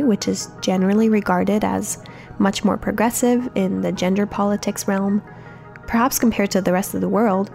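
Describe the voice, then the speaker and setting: A woman's voice: medium pitch, vocal fry, dark tone. A woman from a company communications team hosting a podcast, reading a friendly, upbeat script.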